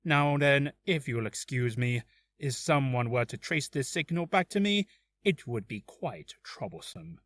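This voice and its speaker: A man voicing a polite, menacing assassin from a video game in a courteous, measured, deliberate manner. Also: mid-range voice, expressive, fairly bright tone. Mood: calm menace. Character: polite, menacing male assassin making ransom demands. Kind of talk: video game character voice acting.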